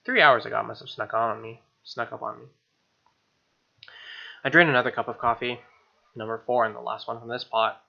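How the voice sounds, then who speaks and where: A man's voice: mid-range voice, fairly even intonation, fairly quick, gravelly, long pauses, highs cut off. A man reading a visual novel aloud as a gamer, mixing narration with informal, slangy asides. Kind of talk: dramatic reading with casual asides.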